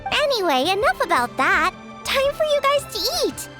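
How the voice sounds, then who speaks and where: A woman's voice: very high voice, sing-song, normal speed. A woman voicing a spirited young chef girl in a video game, bubbly, teasing, bossy and caring. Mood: cheerful. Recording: music behind the voice. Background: music.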